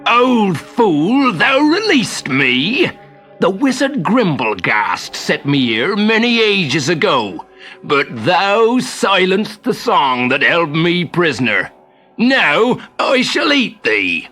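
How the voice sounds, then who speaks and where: A man voicing a huge, hungry, dim-witted troll with booming, archaic speech in a storybook tale. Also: big pitch swings, slow, bright, forceful tone, faint music behind, heavily acted. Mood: gloating, menacing.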